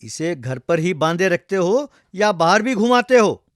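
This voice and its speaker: Man playing a high-voiced, blunt village vet. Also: very animated, unhurried, no room sound, clean studio sound. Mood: lecturing. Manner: lecturing, matter-of-fact. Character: blunt, self-assured male village animal doctor.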